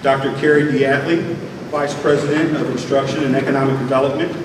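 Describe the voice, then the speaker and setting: Man, formal measured announcing, graduation podium, booming hall echo, loud background noise. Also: fairly even intonation. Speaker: college official presiding at commencement. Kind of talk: formal ceremony introductions. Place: stage of a large hall at a graduation ceremony. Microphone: podium microphone. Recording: echoey hall, distant, loud crowd noise.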